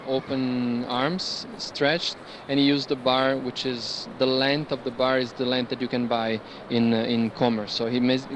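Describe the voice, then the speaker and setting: A man giving an informal, explanatory talk on artworks as a guide, pausing to search for words. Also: mid-range voice. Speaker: curator guiding an art fair tour. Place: large indoor art fair hall. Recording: clear background noise, busy fair hall.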